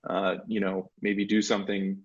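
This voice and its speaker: A man giving conversational, explanatory answers as an interviewee, pitching an education service and repeating words as he phrases things. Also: monotone, moderate pace, breathy edge, dull audio. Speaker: interviewee, education software representative.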